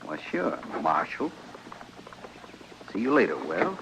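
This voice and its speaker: A man's voice: fairly low pitch, huge pitch swings, slow, creaky, full character voice. An actor playing a vengeful Old West gunman, taunting and coolly threatening, in 1950s radio western style. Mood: cold threat. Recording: dull, hissy old radio recording.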